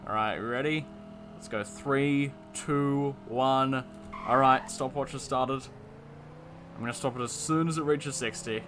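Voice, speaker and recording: A man's voice: medium pitch, steady tone, unhurried, a bit bright, slight background noise. A young man giving casual, enthusiastic commentary while reviewing a car in a video game, with lots of fillers and repeated words.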